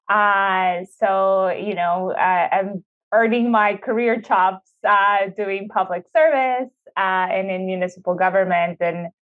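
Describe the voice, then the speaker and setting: A woman in her thirties, originally from Ecuador, answering interview questions in a chatty way with many fillers, speaking over a video call. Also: mid-range voice. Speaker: city sustainability official, podcast guest. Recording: tinny phone-like video call audio.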